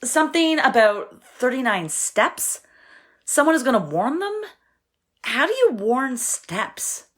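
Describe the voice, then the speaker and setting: A woman's voice: high-pitched, very animated, takes it slowly, full character voice. An actress playing a proper, skeptical young woman caught up in an audio-play adventure. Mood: earnest. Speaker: actor.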